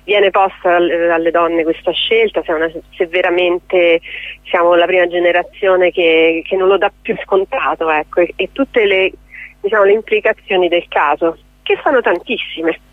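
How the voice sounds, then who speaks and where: Donna quarantenne autoironica, audio radiofonico telefonico. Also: female voice, lowish voice, fairly even intonation, normal speed, no room sound. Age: 40s. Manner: self-deprecating, joking, digressive, laughs often. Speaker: theatre playwright, director and actress, interview guest. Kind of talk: casual, chatty radio interview. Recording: band-limited, telephone-like radio sound.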